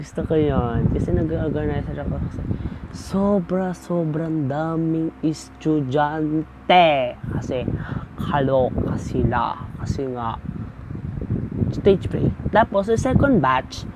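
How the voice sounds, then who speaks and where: A young Filipino man chatting casually in a storytime vlog, gossipy and dramatic in a campy way. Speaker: vlogger. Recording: noisy homemade recording with loud rumble.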